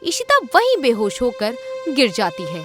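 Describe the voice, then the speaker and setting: A woman narrating a scripted animated horror story. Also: fairly high pitch, normal speed, over music.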